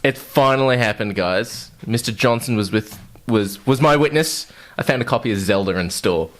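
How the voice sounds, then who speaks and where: A man's voice: medium pitch, normal speed. A man co-hosting a podcast in casual, jokey chat peppered with swearing. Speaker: podcast co-host.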